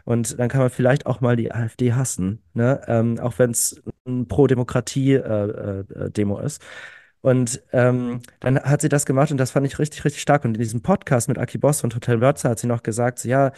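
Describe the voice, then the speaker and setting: A man in his early thirties speaks as a podcast guest, earnest and enthusiastic, often stretching his sentences with fillers and repeating words for emphasis. His voice sits at a middle pitch. The sound is dry and close to the mic. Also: steady tone, fairly quick, dark tone, dry recording. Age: 30s. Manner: earnest, enthusiastic, frequent "ähm" fillers and repetitions. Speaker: podcast guest, climate activist. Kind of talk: casual conversational interview.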